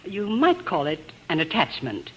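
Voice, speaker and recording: Defensive, insinuating servant, swooping delivery. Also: female voice, on the low side, huge pitch swings, measured pace. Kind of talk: 1940s radio drama acting. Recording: dull, noisy old radio recording.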